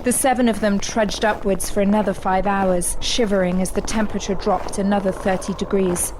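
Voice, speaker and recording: A woman narrator reads scripted drama in a mid-pitched voice. Her storytelling is dramatic, and clear ambient noise runs behind the narration. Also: steady tone, brisk.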